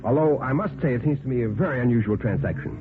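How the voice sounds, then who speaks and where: A man playing an excitable, bewildered but cautious newspaper reporter, hesitant and polite, in a 1950s radio horror play. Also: medium pitch, quick pace, lightly acted. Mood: wary. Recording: tinny, narrow-band 1950s radio recording. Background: background music.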